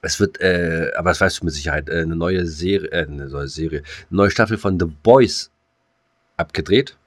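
A man's voice: deep voice, normal speed, no room sound, clean studio sound. A man co-hosting a podcast in casual chat, rambling with many fillers and restarts. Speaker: podcast co-host.

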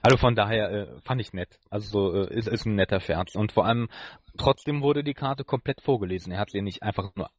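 Low-pitched German man, dry close sound. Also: brisk, no echo. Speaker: podcast co-host. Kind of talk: casual discussion of audio drama.

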